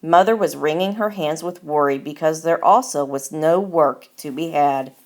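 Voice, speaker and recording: A woman reading a children's story aloud as its author, in deliberate, expressive scripted narration. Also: sits low.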